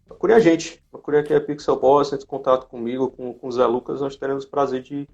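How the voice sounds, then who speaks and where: A man's voice: mid-range voice, steady tone, fast talker, gravelly, darkish tone. A man with a Brazilian accent hosting a casual live interview chat, recorded in lo-fi live-stream sound. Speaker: host of a company live stream. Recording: dull lo-fi live-stream audio, faint music.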